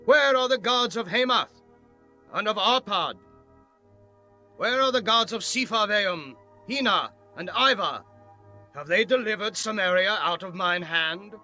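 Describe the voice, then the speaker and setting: An actor playing a boastful, threatening Assyrian royal envoy in a dramatized scripture audiobook, declaiming tauntingly over music. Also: male voice, high-pitched, lively intonation, unhurried, pushed and bright, full character voice. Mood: proud, menacing. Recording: music under the voice.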